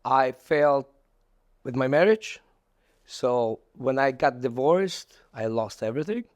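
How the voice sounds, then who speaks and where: A middle-aged man with a Polish accent talks as an interview guest. He speaks in a conversational, halting way, repeating words as he goes. The sound is dry, with almost no room on it. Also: mid-range voice, dry recording. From Poland. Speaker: podcast guest, entrepreneur.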